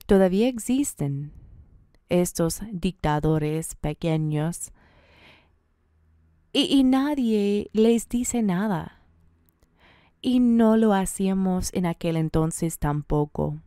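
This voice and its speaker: A woman's voice: on the low side, lively intonation, slow pace, pauses often, warm tone, smooth, dry recording, clean studio sound. A woman in her sixties from Colorado speaking on a panel, blunt and emphatic, with rising rhetorical questions, into a handheld mic in a conference room. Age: 60s. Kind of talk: public panel talk. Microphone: handheld microphone.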